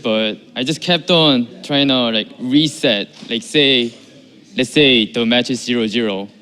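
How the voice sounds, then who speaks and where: A man's voice: mid-range voice, unhurried, sharp tone, smooth. A man, a pro player, giving hesitant, short answers in an interview.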